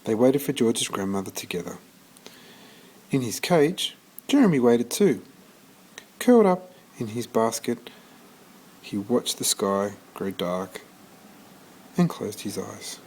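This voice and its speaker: A man reading a children's story aloud in an expressive storytelling manner, occasionally stumbling and restarting. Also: medium pitch, slow pace, pauses often, husky, low-quality recording. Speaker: storybook reader.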